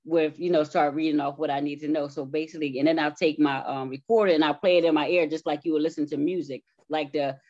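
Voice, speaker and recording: A woman's voice: deep voice, fairly even intonation, fast, few pauses, darkish tone, no room sound. Dry, slightly dull audio of a woman being interviewed. She talks casually, walking through her explanation step by step in an everyday conversational voice. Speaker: stage play cast member, interview guest.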